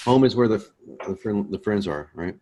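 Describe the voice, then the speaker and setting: Man, very low voice, laid-back and joking, course host, video call. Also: moderate pace, warm tone. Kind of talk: casual chat before a lesson.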